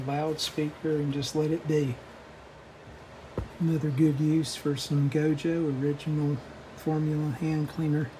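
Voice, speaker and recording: A man giving a casual, low-key, step-by-step narration of hands-on repair work, matter-of-fact and a little hesitant. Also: dark tone, slight background noise, poor audio. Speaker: radio restorer narrating a repair.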